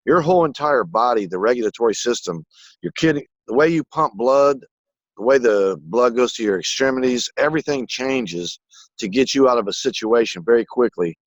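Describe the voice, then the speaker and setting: A man in a casual spiritual conversation who rambles excitedly and swears casually. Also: mid-range voice, a little fast, dry recording, muffled recording.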